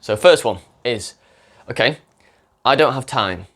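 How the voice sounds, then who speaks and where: A man's voice: medium pitch, expressive, unhurried, a bit bright. A young man who tutors languages, talking unscripted to camera in a rambling way, often restarting his sentences. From English-speaking country. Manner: rambling, self-correcting, many restarts.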